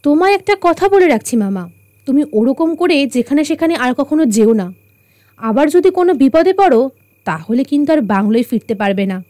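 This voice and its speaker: An actress playing a firm, watchful young detective heroine in a radio drama, speaking expressively and with authority. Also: female voice, sits high, lively intonation, moderate pace, mellow, no room sound, studio quality. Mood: admonishing.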